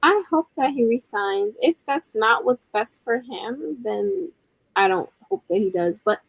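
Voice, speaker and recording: A woman co-hosts a casual review stream and gives short, agreeable answers. Her voice is slightly high, breathy and gravelly, and the sound is dry and close. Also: expressive, measured pace, no echo, rough recording. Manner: brief, agreeable replies. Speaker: co-host.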